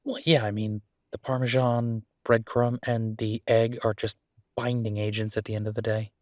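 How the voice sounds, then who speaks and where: A man playing an affectionate, playfully grumbling young werewolf chef in an intimate, casual boyfriend roleplay audio, chatty and halting. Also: fairly low pitch, level tone, no echo, studio quality, lightly acted. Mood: fond, enthusiastic.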